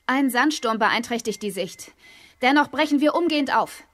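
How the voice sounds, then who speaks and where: An actress playing a cool, dry-witted female spaceship crew member in an audio drama, brisk and businesslike. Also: high-pitched, animated.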